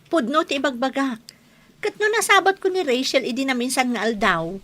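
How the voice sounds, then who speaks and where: An actress playing a chatty, meddling, blunt village friend in a radio comedy drama, with an Ilocano accent. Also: female voice, high-pitched, animated, fairly quick, fairly bright tone. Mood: blunt, insistent.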